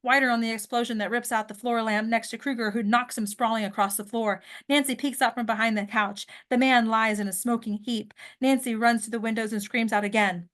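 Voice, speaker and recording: Female narrator for a script table read, slightly high voice, trips on and repeats some words. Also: steady tone, brisk, hardly any pauses, fairly bright tone. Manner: stumbles over written words and rereads them. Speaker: narrator reading stage directions.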